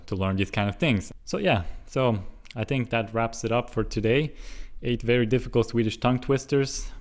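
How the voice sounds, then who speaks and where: A young man from Switzerland who grew up speaking English and Russian, vlogging casually and working slowly and carefully through hard foreign words between chatty, musing asides. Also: on the low side, a little mellow. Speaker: language-learning vlogger. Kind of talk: casual solo vlog.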